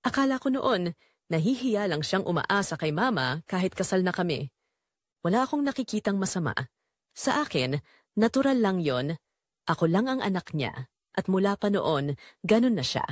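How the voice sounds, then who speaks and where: A woman's voice: medium pitch, sing-song, normal speed, long pauses, no echo, studio quality. A woman playing a betrayed married daughter, narrating her painful family secret in an emotive, confessional first-person style for an audio drama. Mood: reflective, fond.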